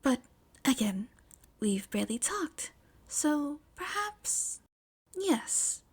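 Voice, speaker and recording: A woman acts out a teenage schoolgirl for an audio story. The voice is high and soft, often breathy, and the delivery is polite, halting and easily flustered. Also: big pitch swings, exaggerated voice. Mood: awkward, polite.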